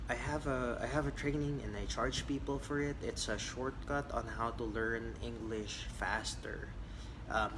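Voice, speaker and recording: A man's voice: on the low side, background noise. A man from the Philippines coaching call center applicants in a casual, direct way, with many fillers and restarts. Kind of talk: live-stream Q&A coaching.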